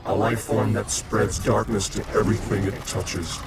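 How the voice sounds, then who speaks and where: A man's voice: lowish voice, fairly even intonation, moderate pace, over loud music, natural voice. A man playing a cold collective machine intelligence in a video game, speaking in clipped, formal statements. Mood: solemn, explaining. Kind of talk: scripted video game cutscene acting.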